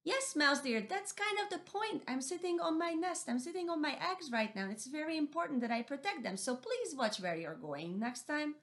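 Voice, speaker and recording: A high, thin little mother owl, put on by a woman storyteller, fussy and protective of her nest, her voice perched far above an ordinary speaking voice. she frets and stammers when she gets frightened, like a creature from a folk storybook. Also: very animated, moderate pace, hardly any pauses, fairly bright tone, full character voice. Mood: prim. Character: tiny anxious mother owl guarding her eggs.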